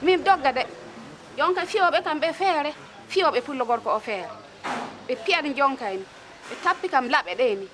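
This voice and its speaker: Female witness, very high voice, unscripted account, faint ambient noise. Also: expressive, normal speed. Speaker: witness interviewee. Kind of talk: spontaneous testimony.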